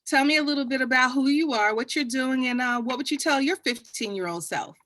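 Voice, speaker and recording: A Texan woman moderates a panel, her bright, forceful voice full of upbeat encouragement for her guests. The sound is dry and close, with no room around it. She talks like someone rallying a crowd. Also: sits high, brisk, no room sound. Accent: Texas. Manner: upbeat, hype-building, cheering on guests.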